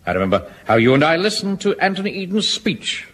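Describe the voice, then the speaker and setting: A pompous stuffy army captain. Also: male voice, a little high, big pitch swings, a little fast, a bit bright. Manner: pompous, stuffy, self-important. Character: pompous middle-aged male home guard captain. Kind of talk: 1970s radio sitcom acting. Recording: old radio recording with faint hiss.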